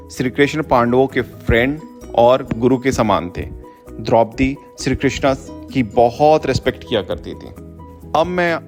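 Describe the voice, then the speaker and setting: A man plays a father telling mythological tales to his child in a children's story video. He explains things in simple words, at an average pitch, with music playing behind him. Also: natural voice.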